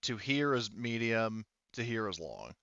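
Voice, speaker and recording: A man running a tabletop game as game master, talking casually and haltingly as he thinks aloud. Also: lowish voice, very animated, fairly bright tone, smooth, no room sound, highs cut off.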